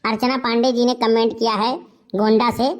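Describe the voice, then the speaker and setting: A woman's voice: on the high side, level tone, moderate pace. A woman channel host reading out viewer comments and thanking each person.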